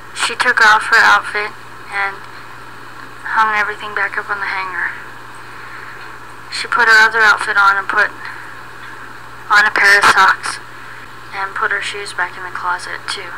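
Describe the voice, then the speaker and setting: Female narrator for an amateur audio drama, plain and halting, mid-pitched, with clear background noise. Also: level tone. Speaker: narrator and sole performer. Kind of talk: storytelling narration of an audio play. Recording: noisy homemade recording.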